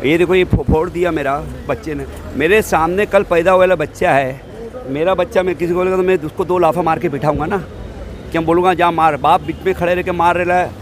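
A man's voice: a little high, steady tone, brisk. An older man, a shopkeeper, defending himself to a reporter on a city street at night, talking in a heated, rambling way into a handheld mic. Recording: noisy street.

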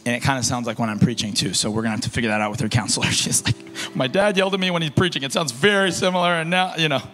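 A young man preaching to a youth conference on a large stage into a handheld mic, alternating shouted emphasis with low, soft asides. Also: racing. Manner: paces and gestures across the stage. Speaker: youth pastor. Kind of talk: energetic sermon. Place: large stage in a concert hall. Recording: reverberant hall with faint music.

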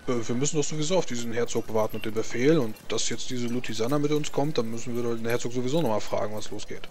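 A man's voice: medium pitch, fast talker, darkish tone, a little hiss or hum, natural voice. A man playing a naive, eager dwarf warrior in a tabletop game, speaking bluntly and asking simple, puzzled questions. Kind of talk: tabletop roleplay in character.

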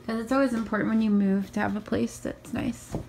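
A young woman with a raspy morning voice, chatting casually through a tour of her small bedroom, filmed on a handheld phone. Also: a little high, fairly even intonation, fairly quick, a little mellow, slight background noise, rough recording. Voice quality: raspy from just waking up. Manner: chatty, upbeat, many ums and likes. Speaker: vlogger. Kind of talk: casual room tour vlog. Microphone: handheld phone camera.

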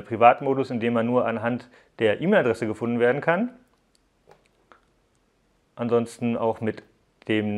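Man giving a casual tech walkthrough. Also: medium pitch. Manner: explanatory, addressing viewers directly. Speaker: app reviewer, host. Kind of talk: casual hands-on tech review narration.

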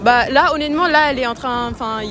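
A woman's voice: high voice, fast. A young woman giving a rambling street interview answer full of fillers. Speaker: passer-by. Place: city square outdoors. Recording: street crowd and music behind.

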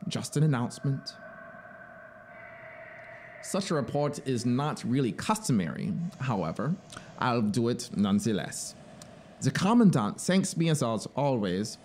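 A man's voice: mid-range voice, slight background noise. A man voicing a fanatical, scheming officer in a dramatic story reading, pleading and grandiose, with a theatrical, urgent manner. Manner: theatrical, urgent, swinging between hushed asides and exclamations.

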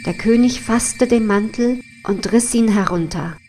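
A woman's voice: on the high side, unhurried, noisy background. A woman storyteller narrating a scripted fairy tale.

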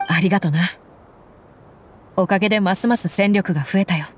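A woman's voice: medium pitch, a little fast, slight background noise, close to an everyday voice. A woman playing a blunt desert mercenary. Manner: blunt, rough-spoken. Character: tough young female desert mercenary, blunt and proud. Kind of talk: Japanese game voice acting.

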